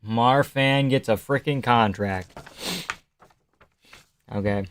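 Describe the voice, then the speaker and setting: A man's voice: mid-range voice, slow, smooth, no room sound. A young man hosting a live stream, chatting casually and sarcastically while reading viewer comments. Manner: sarcastic, offhand, swears and laughs often.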